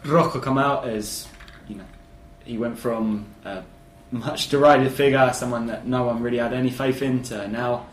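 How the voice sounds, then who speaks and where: Animated man in casual football talk. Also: medium pitch, normal speed, hardly any pauses, echoey room, a little hiss or hum, rough recording. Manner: animated, emphatic, swears casually. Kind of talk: casual football podcast chat. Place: living room.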